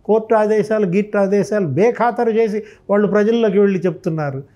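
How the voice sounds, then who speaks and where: A man's voice: high voice, a little fast, mellow, lo-fi sound. A man giving impassioned political commentary, emphatic and full of rhetorical questions. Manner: emphatic, rhetorical questioning, re-enacting others' remarks.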